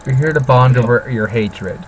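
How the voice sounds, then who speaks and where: A man with a mid-pitched voice chats casually as a co-host. His manner is teasing and crude, full of short heckles. Background noise is audible around him. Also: normal speed, rough recording. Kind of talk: casual banter.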